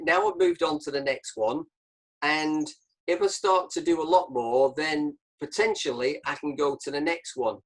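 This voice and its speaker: A man's voice: a little high, poor audio. A man hosting an informal discussion on a video call, explaining his reasoning step by step. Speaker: host, badminton coach.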